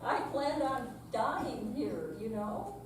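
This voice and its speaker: An older woman playing a stubborn, feisty elderly widowed mother in a staged reading, with halting, emphatic theatrical delivery. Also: fairly high pitch, sing-song. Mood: stubborn, pleading. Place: senior center room, staged live reading. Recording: very echoey hall, distant mic, hissy noise.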